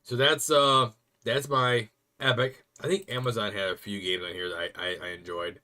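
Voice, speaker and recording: A young man rambling casually to viewers on a stream, chatty and quick to laugh. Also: on the low side, fairly even intonation, bright, forceful tone.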